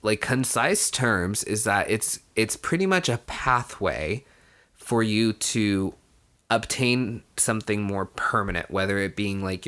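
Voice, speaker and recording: Young man, lowish voice, relaxed storyteller, talks off the cuff with filler words and the odd laugh. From Mexico. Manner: conversational, frequent "you know", swears casually, laughs while talking. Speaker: vlogger. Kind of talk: casual personal storytelling to camera.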